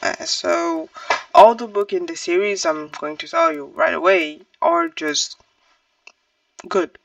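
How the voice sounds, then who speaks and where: A woman's voice: mid-range voice, big pitch swings, slow pace, gravelly, no echo, recorded from a distance, highs cut off. A woman giving a casual, opinionated book review in an informal, emphatic way.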